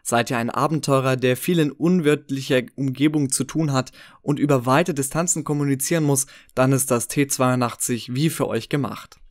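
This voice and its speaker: A man delivers scripted review narration. His voice sits at an average pitch. The sound is dry and close, as in a voice-over for a product comparison. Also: moderate pace, hardly any pauses, no echo.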